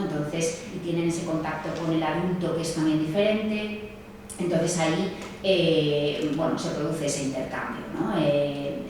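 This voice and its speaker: Woman lecturer with a low voice, halting, with fillers and tag questions, heard in a very echoey room. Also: a little mellow, slight background noise. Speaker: sociologist speaking at a seminar. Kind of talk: seminar talk. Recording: echoey distant room mic, faint ambience.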